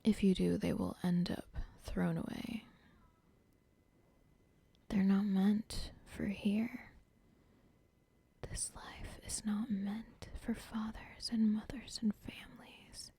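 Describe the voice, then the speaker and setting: A woman's voice: medium pitch, little pitch movement, slow pace, creaky, long pauses, dark tone, slightly rough, dry recording, heavily acted. A woman whispering an ASMR roleplay as a cold, controlling female cartel boss who talks in a menacing, dismissive way. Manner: whispering throughout.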